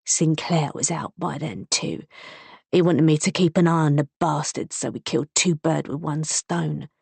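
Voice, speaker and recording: A gruff, mumbling ruffian of a husband, as a woman narrator voices him, spoken mostly near her own low, husky register with little extra colour. He sounds surly and worn, recorded close in a dry room. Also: fairly even intonation, moderate pace, darkish tone, no room sound, exaggerated voice. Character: surly injured rough male drunkard.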